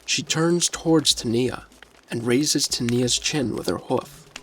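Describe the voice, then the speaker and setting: A man reading scripted story narration in a measured, descriptive manner. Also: mid-range voice, fairly bright tone, a little hiss or hum.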